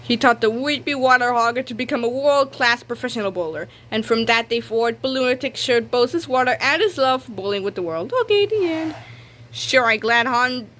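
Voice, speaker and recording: A woman's voice: a little high, brisk, few pauses, a bit bright. A woman reading a children's comic book aloud expressively, sometimes stumbling over words. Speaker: read-aloud storyteller.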